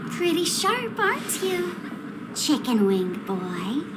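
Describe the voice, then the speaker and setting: A woman's voice: high-pitched, huge pitch swings, slow, heavy background noise, full character voice. An actress playing a sly, playful trickster woman in a video game, teasing in a flippant, theatrical way. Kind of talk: scripted dramatic video game cutscene dialogue.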